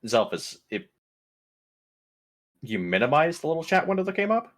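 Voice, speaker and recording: A man's voice: on the high side, sing-song, moderate pace. A man chatting casually as a player in a tabletop game. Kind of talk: casual chat.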